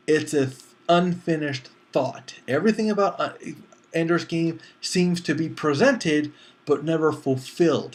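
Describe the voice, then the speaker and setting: A man giving a casual spoken film review as a movie critic, talking conversationally and acting out his imagined reactions. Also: a little high.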